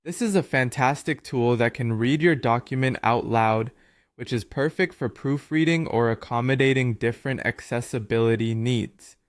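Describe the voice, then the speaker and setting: A man reading a scripted software tutorial in an instructional, clearly structured way. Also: medium pitch, normal speed, no room sound, clean studio sound. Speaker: tutorial narrator. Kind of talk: scripted step-by-step tutorial narration.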